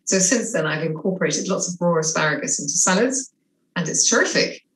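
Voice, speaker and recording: A woman's voice: fairly low pitch, expressive, fairly quick, a bit bright. A middle-aged woman with a mixed French and English accent, chatting enthusiastically through a cooking demonstration in her home kitchen on a video call. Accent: French and English mix. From half French, half English. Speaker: cooking instructor. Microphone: video call webcam. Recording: echoey kitchen with faint noise.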